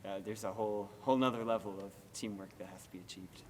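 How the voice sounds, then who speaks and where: A man's voice: lowish voice, steady tone, quick pace. Teen male theater student, informal interview talk, long room reverb. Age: teens. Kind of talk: casual interview. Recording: echoing hall, faint background noise.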